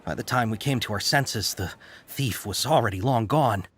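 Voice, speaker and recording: An actor playing a fawning caravan merchant in a game, hesitant and full of filler sounds. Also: male voice, on the low side, normal speed, a bit bright, slight background noise, natural voice. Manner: hesitant, full of uhs, salesy. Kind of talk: game voice acting. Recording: game audio over faint music.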